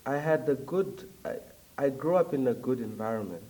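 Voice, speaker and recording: A young man from Zambia, talking as a guest in a casual interview, reflective and hesitant with frequent pauses. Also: mid-range voice, animated, dark tone, far from the mic, rough recording. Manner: reflective, hesitant with many ums.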